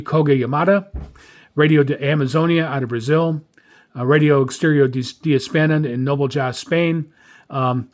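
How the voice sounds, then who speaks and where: A man talking informally as a radio hobbyist host, halting often as he reviews his notes. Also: medium pitch. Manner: halting, frequent hesitations. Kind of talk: informal spoken log review.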